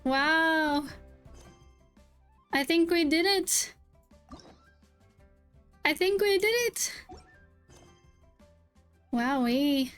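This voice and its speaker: A young woman with a high-pitched voice gives casual, chatty reactions as a game streamer. She is opinionated and informal, with faint game music underneath. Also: very slow, pauses often, a bit bright. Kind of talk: casual gameplay reaction and review.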